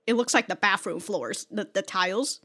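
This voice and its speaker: A woman's voice: medium pitch, moderate pace, bright, forceful tone. A woman giving casual, slangy, sweary and giggly reaction commentary as host of a reaction video.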